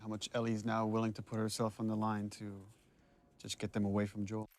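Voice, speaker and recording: A man's voice: fairly low pitch, completely flat delivery, brisk, a little mellow. Man giving casual director's commentary.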